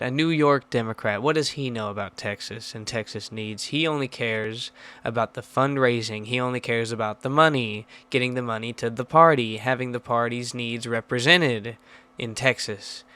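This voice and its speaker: Blunt, emphatic man with a crisp voice. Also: mid-range voice, bright, forceful tone. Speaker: political commentator. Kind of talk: political commentary.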